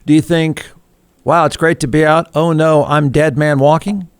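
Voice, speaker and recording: A man's voice: a little high, little pitch movement, moderate pace, clean tone, dry recording. A man hosting a talk show interview, asking conversational, probing questions.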